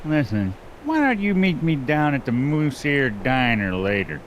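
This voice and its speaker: A man playing a folksy, placating small-town lawman in an animated game, breezily evasive. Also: medium pitch, a little slow, muffled recording, a little hiss or hum.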